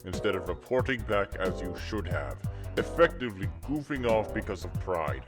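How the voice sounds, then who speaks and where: Deep-pitched devil boss played by a man, tired, fatherly and stern yet forgiving, for a storybook reading over music. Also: very animated, normal speed. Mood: stern disappointment. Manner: paternal, exasperated. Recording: music behind the voice.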